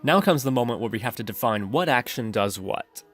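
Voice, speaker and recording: A man with a medium-pitched voice presents a step-by-step software tutorial. His delivery is methodical and instructional, with faint music playing behind him. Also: lively intonation, fairly quick. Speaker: programming tutorial host. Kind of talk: scripted tutorial narration.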